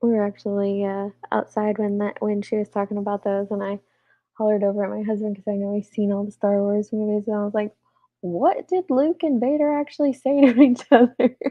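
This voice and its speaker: A woman in her forties, congested and a little rough-voiced, chatting casually with her live chat and laughing often. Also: medium pitch, level tone, fairly quick, no echo, narrow, tinny audio. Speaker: livestream host.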